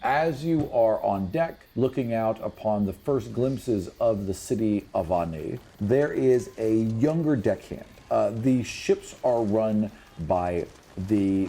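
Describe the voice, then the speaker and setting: A man's voice: lowish voice, takes it slowly, warm tone, breathy edge. A man acting as game master, narrating a tabletop fantasy game in an explanatory, conversational way.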